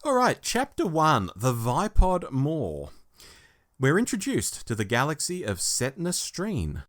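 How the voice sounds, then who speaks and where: A man's voice: a little high, wildly swooping pitch, slow, fairly bright tone. A man hosting a review podcast, reading out a prepared plot summary in a storytelling way. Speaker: podcast host.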